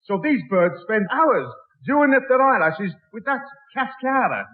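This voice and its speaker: Breezy, very high-voiced chatterbox. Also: male voice, expressive, a little slow. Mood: knowing. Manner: breezy, jokey, malaprop-prone patter. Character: chatty, matey working-class man mangling long words. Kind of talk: 1960s radio sitcom acting. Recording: tinny old radio broadcast recording.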